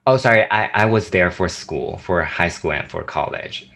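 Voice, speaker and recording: A young man from China with a Chinese accent, chatting as a guest on a video call, lively and full of fillers and restarts. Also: deep voice, moderate pace, creaky. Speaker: guest, YouTuber. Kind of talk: casual chat on a video call.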